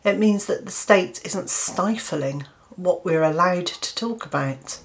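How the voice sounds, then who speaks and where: A woman's voice: on the low side, lively intonation, music in the background. Earnest British woman in her fifties. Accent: British English. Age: 50s. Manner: earnest, measured, with hesitant restarts and fillers. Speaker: gardening channel host. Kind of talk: opinion piece to camera.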